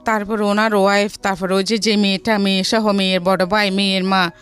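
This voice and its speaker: A middle-aged woman with a Bangladeshi accent telling her life story in a studio interview, haltingly and often repeating phrases. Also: medium pitch, level tone, fairly bright tone, smooth. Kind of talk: personal storytelling in a radio interview. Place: radio studio. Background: background music.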